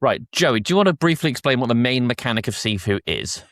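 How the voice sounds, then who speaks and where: A man's voice: medium pitch, lively intonation, fast talker, a bit bright, no room sound, clean studio sound. A man hosting a podcast, chatting casually and recapping episodes in a jokey, informal way. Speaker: podcast host.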